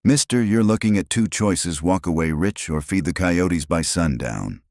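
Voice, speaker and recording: A man voicing a gruff, steady middle-aged frontier marshal in a measured, low drawl. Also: no room sound, clean studio sound.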